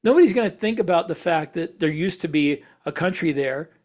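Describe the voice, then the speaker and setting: A man in a casual podcast discussion, speaking as an opinionated co-host, halting at times and repeating words for emphasis. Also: a little high, lively intonation, a little mellow.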